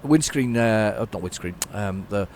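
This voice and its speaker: A man's voice: lowish voice, lively intonation, normal speed, a little mellow, slight background noise. A man giving casual, rambling gameplay commentary, often correcting himself. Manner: rambling, self-correcting, chatty. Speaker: gaming channel host.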